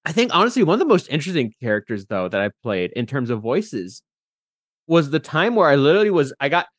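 Animated, higher-pitched man with lively swings. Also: fast, no echo, studio quality. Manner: animated, rambling storyteller. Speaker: podcast guest and tabletop player. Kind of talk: casual chat, telling gaming anecdotes.

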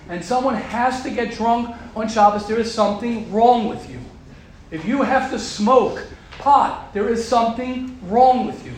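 A man teaching a group of young men, talking forcefully and repeating his points for emphasis. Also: very high-pitched. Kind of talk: emphatic religious talk to a group. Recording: very roomy echo with faint background hum.

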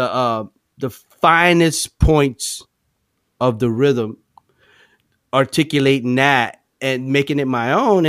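New drummer, bright, dry sound. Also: male voice, fairly high pitch, dry recording. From New Orleans. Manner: loose, reflective, drifts between loud and soft. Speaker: drummer guest. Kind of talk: casual interview chat.

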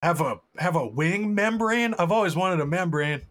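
A man's voice: high voice, lively intonation, normal speed, fairly bright tone. A man riffing playfully and profanely in casual banter with friends. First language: English.

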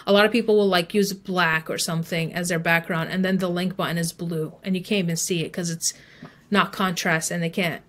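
A woman's voice: on the low side, steady tone, brisk, a bit bright, a little hiss or hum. A woman speaking as an expert guest in a conversational interview, explaining things step by step in an informal way, with plenty of "like" and "you know". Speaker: guest email marketing expert.

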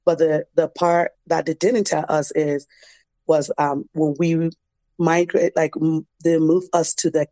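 A woman's voice: low voice, flat delivery, unhurried, warm tone, no room sound. A young adult woman, telling personal stories in a reflective, conversational interview style. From Liberian, grew up in a refugee camp in West Africa. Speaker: interview guest, dance program founder.

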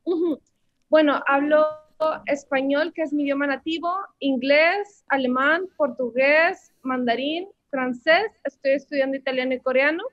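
A woman's voice: sits high, normal speed, highs cut off. A woman in her twenties with a Mexican accent, a language teacher chatting about her story as an interview guest, with lots of fillers. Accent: Mexican Spanish. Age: 20s. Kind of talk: casual interview answers.

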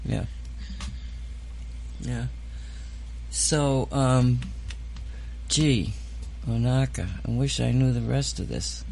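A male podcast host with a gravelly, creaky voice at a middle pitch. He rambles and hesitates, circling his point with fillers. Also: extremely slow, long pauses, darkish tone, slight background noise. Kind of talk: casual podcast chat.

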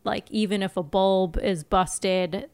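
A woman talks casually as a podcast co-host. Her voice is smooth and clear, sitting at an average pitch. She jokes in a dry, wry way. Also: fairly even intonation, slow pace, darkish tone. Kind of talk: casual chat.

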